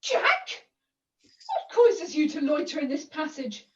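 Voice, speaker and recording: Pantomime maid, woman performer: lowly, plaintive, melodramatic, very high and swooping. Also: huge pitch swings, slow pace, exaggerated voice. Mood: indignant, distressed. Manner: florid, old-fashioned, plaintive speech. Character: Elsie, a lowly, overwrought, melodramatic young runaway maid. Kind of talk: theatrical pantomime acting. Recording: echoey distant muffled video-call audio.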